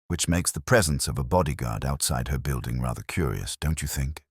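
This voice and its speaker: A man voicing a shrewd, grave detective in an audiobook, speaking deliberately and pressing his point. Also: extremely low pitch, expressive, slightly rough, no room sound, studio quality, exaggerated voice. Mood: wry, brisk. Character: shrewd, grave, probing male Victorian detective. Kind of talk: audiobook character dialogue.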